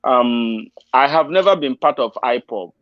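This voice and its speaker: A man's voice: medium pitch, animated, a little slow, no room sound. A man with a Nigerian accent giving an unscripted personal account as an interview guest, explaining step by step with emphasis. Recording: dry, slightly dull lo-fi audio.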